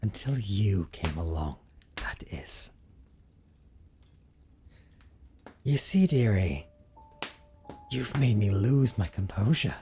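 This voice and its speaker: A man's voice: on the low side, animated, darkish tone, soft background music. A man playing a brooding, possessive vampire recluse in an audio roleplay monologue, with formal, theatrical phrasing and a teasing streak. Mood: menacing, hungry. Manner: formal, theatrical, deliberate phrasing with condescending endearments. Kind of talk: dramatic first-person audio roleplay monologue.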